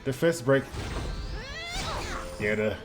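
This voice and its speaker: A man's voice: medium pitch, slow. A man streaming a game, giving informal live commentary with wry, muttered asides. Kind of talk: casual live gameplay commentary. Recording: game music faintly behind voice. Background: game music and character voice lines.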